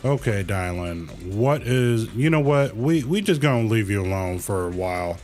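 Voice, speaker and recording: A man livestreaming a game with casual commentary, thinking aloud in a halting way and repeating phrases. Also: lowish voice, animated, a little mellow, faint music behind.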